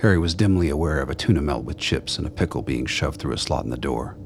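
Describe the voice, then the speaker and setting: A man's voice: rumbling low, expressive, fast talker, background noise. A man narrating a radio play, reading scripted third-person story prose.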